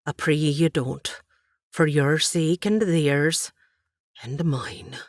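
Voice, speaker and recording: A woman's voice: medium pitch, sing-song, a little slow, dry recording, studio quality, voice pushed up. A woman voicing a widowed aunt with an Irish accent, shrewd and stern yet kind. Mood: gentle. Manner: probing, lilting Irish turns of speech.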